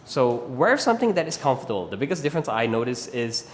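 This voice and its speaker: A man's voice: mid-range voice, sing-song, fast, big-room sound, a little hiss or hum. A man in his thirties from Malaysia with a Malaysian English accent, patiently explaining rope flow as an instructor and often checking in with "right?". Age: 30s. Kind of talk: instructional video talk.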